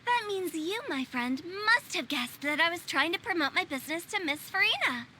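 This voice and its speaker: A woman's voice: very high-pitched, very animated, normal speed, bright, forceful tone, a little hiss or hum, heavily acted. A woman playing a playful, sly young female funeral director in a video game, teasing and chirpy. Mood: playful, probing. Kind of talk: English video game voice acting.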